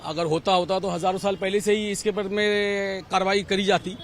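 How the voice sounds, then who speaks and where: Male passer-by, Indian accent, high pitch, emphatic and repetitive, faint street noise. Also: little pitch movement, brisk. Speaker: member of the public interviewed. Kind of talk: street interview answers. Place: outdoors on a city street.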